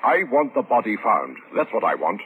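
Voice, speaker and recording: An actor playing an irritable, overbearing British police commissioner in an old radio drama, brusque and impatient. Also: male voice, lowish voice, sing-song, moderate pace, narrow, tinny audio. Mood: blustering, impatient. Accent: British English. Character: irritable, overbearing senior police commissioner, male, demanding results. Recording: noisy old radio recording.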